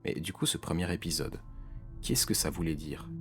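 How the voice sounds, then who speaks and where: A man giving scripted explanatory narration in French video-essay style, with a measured, serious storytelling manner. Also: very deep voice. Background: background music.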